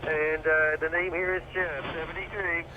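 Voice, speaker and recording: A man's voice: a little high, little pitch movement, moderate pace, highs cut off. A man heard over amateur shortwave radio, giving short contact exchanges and call signs. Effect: voice received over shortwave radio. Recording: noisy shortwave radio reception with static.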